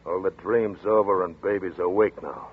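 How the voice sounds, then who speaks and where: A gruff hard-boiled detective. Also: male voice, sits low, fairly even intonation, moderate pace. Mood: angry, contemptuous. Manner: gruff, clipped, contemptuous. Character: hard-nosed tough detective brother-in-law. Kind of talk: 1940s radio drama acting. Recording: tinny lo-fi 1940s radio broadcast.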